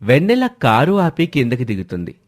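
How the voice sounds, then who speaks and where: A man with a medium-pitched voice narrates a scripted story. He delivers it as a dramatic storyteller of family tales. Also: wildly swooping pitch, moderate pace.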